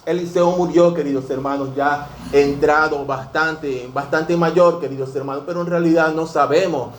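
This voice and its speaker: Venezuelan pastor in his 50s, slightly high-pitched, preaching earnestly in a reverberant church with faint background hiss. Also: steady tone, moderate pace. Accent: Venezuelan Spanish. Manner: earnest, fills every phrase with "dear brothers". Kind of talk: sermon. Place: church sanctuary. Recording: echoey church room, faint noise.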